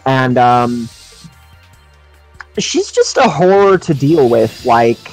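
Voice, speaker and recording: A man chatting casually on a gaming livestream in a rambling, excitable, sweary way. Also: medium pitch, takes it slowly. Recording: music behind the voice. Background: music.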